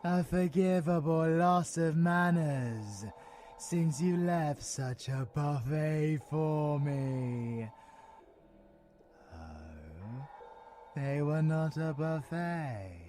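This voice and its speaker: A man's voice: fairly high pitch, animated, drawn-out words, warm tone, faint music behind. A British man playing a sadistic ancient male vampire in an audio roleplay, with a theatrical, mocking, taunting delivery. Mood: mocking, boastful. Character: sadistic grey-skinned ancient vampire monster, male, gleefully predatory. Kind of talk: audio roleplay villain acting.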